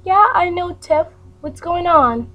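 A woman's voice: very high voice, animated, slow, muffled recording, voice pushed up, full character voice. A woman voicing a caring, protective old grandmother who fusses and gives firm advice, with a faint hum behind her.

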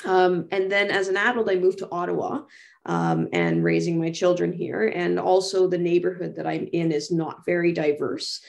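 A woman's voice: fairly low pitch, moderate pace, vocal fry, a little mellow. A middle-aged Canadian woman from Toronto, sharing personal reflections on a video call from a room at home. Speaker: guest speaker. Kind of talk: reflective personal talk in an online panel. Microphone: video call microphone.

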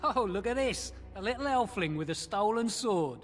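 A man's voice: high-pitched, animated, moderate pace, long reverb tail, soft background music. An actor playing a menacing, mocking male castle guard in a fantasy game. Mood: mocking, threatening.

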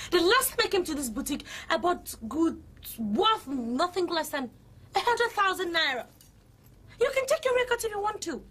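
A haughty, very high-voiced rich girl. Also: fairly bright tone, a little hiss or hum. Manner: indignant, scolding, theatrical. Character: haughty rich young woman, senator's daughter. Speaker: wealthy customer in a boutique.

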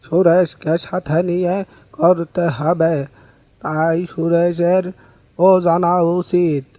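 A man playing a kindly, secretive village uncle in a cartoon fairy tale, speaking in a measured, instructive way. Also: fairly high pitch, flat delivery, mellow, dry recording. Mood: confiding. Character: kindly village uncle, secretive, wise keeper of a magic spade. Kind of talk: animated fairy-tale dialogue.

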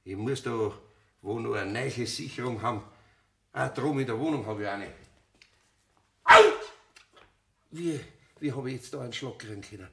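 Radio play craftsman: Bavarian, flustered and stammering, creaky voice, slightly noisy recording with clicks. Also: medium pitch, moderate pace, long pauses, pushed and bright. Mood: bewildered, muttering. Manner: stammering, repeating words in agitation. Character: flustered, exasperated master woodturner, a man. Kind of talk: German radio play acting.

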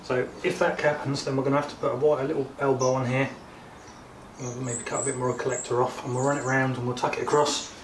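A man's voice: medium pitch, flat delivery, fast. A man helping on a motorcycle build, talking through practical fixes in a plain, casual way. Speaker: co-builder in workshop. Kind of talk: casual workshop chat. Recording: echoey, distant, clear background noise.

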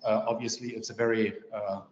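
A man's voice: lowish voice, little pitch movement, fairly quick, slight background noise. An older man talking on a video call from home, thinking aloud with many fillers and gesturing as he talks. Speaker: meeting participant. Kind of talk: casual discussion on a video call. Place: home room indoors. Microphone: webcam or laptop mic on a video call. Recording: roomy, dull video call audio.

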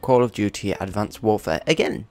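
A man's voice: on the low side, animated, moderate pace, darkish tone. A young man with a cold, chatting casually and swearing now and then while commentating his own gameplay. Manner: rambling, chatty, swears at opponents. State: congested with a cold. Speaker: gaming YouTuber.

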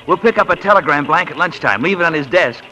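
An actor playing a breezy, wisecracking office prankster in a 1940s radio play. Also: male voice, a little high, animated, fast talker, bright, forceful tone. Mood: scheming. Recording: noisy vintage radio broadcast.

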